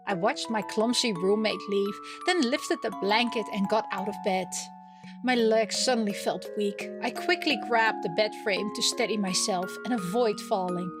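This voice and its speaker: A woman's voice: mid-range voice, moderate pace. A woman streaming a visual novel, chatting casually and reading the game text aloud. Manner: reacts aloud with small interjections. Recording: music playing behind the voice. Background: game music.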